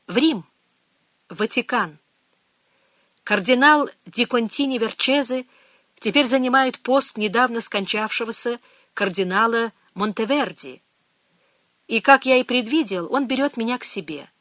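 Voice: mid-range voice, lively intonation, a little slow, long pauses, a bit bright, no room sound, pitched above the performer's own voice. A reader voicing a weary, guarded middle-aged priest in an audiobook, speaking in a restrained, reflective way. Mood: earnest.

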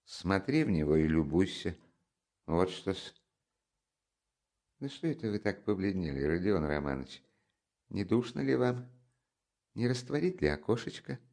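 A man's voice: low-pitched, very animated, a little slow, long pauses, darkish tone, full character voice. A man voicing a fussy, sly middle-aged investigator who talks in a wheedling, fawning way. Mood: sly, insinuating. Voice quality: wheedling, fawning, with deferential "-s" endings. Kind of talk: audiobook character voice.

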